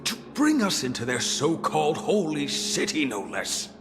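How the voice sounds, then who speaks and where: A man's voice: fairly high pitch, huge pitch swings, normal speed. An actor playing a stern, stubborn old priest in a video game, declaiming formally about his faith. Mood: indignant. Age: older. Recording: long reverb, loud music behind.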